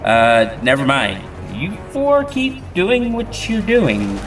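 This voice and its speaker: A man's voice: high-pitched, wildly swooping pitch, takes it slowly, distant mic, full character voice. A man playing a flustered, bossy city cop in an audio drama, speaking with urgency. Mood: alarmed. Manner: urgent, exclaiming. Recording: off-mic under clear music.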